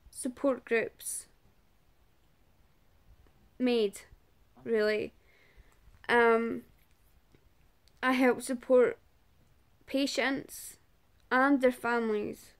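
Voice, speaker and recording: Scottish woman, 30s, personal vlog to camera; slow and halting with a raspy fry. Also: fairly high pitch. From Scotland. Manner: halting, searching for words with long pauses. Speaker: awareness vlogger and support group organiser. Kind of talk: personal awareness talk to camera.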